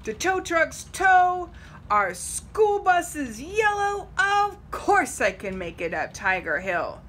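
Rich: A cheerful, can-do bus driver lady from a storybook, as a woman reads her aloud, bright and eager with a high, springy voice that leaps around. Every line lands with a confident punch. A faint ambient hum sits under her. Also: sing-song, slow, full character voice.

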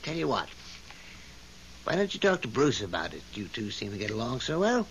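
A put-upon God in a 1970s radio play, fussy and exasperated. His pitch leaps about in flustered hesitation. The old recording is muffled, with faint hiss. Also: big pitch swings, a little fast, fairly bright tone. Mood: fussy, brisk. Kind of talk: 1970s radio drama acting.

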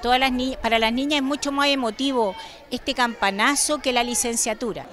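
A middle-aged woman giving a street interview into a handheld mic, speaking earnestly and measured, with pauses. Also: on the high side, a bit bright. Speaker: parent association president. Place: outdoors on a city street. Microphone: handheld reporter mic.

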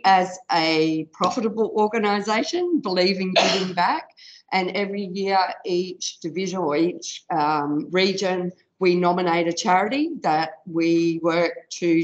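Loud-voiced woman presenting at a conference. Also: lowish voice, measured pace, highs cut off. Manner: informal, many fillers, loud-voiced. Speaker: conference presenter, software product specialist. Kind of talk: conference talk. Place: conference room.

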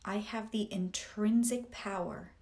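A woman reading scripted guided meditation affirmations in a deliberate, measured way, in short phrases with pauses. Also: medium pitch, fairly even intonation, creaky, a little mellow.

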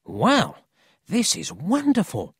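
A narrator voicing a cocky, excitable but friendly city boy in a children's storybook, brash and eager. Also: mid-range voice, measured pace, slightly rough, no echo, studio quality, voice pushed up, heavily acted. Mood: excited.

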